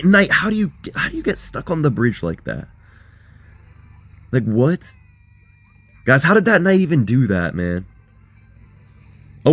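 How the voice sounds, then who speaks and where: Hoarse young man narrating a game. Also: on the low side, dry recording. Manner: excitable, chatty, addresses viewers as guys. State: hoarse, losing his voice. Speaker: gaming content creator. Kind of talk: live gameplay commentary.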